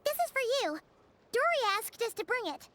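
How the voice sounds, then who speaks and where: A woman voicing a tiny, squeaky, excitable childlike fairy companion in a video game. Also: moderate pace, heavy background noise. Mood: chirpy. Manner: squeaky, exclamatory. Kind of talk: scripted video game dialogue. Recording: loud game music behind.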